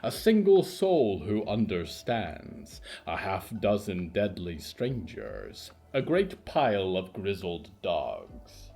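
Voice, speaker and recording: A low-voiced man narrates eerie audio fiction over soft music. His pace is slow and measured, and his pitch swings theatrically between dramatic pauses. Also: wildly swooping pitch, vocal fry. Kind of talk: scripted audio fiction narration, literary horror storytelling.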